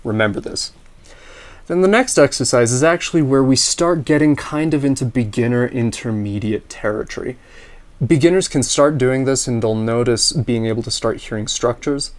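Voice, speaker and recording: Slightly raspy man teaching ear training. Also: mid-range voice, normal speed. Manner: sings scales and intervals in solfege between explanations; relaxed, practical explaining. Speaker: music teacher. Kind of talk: instructional talk with sung solfege drills.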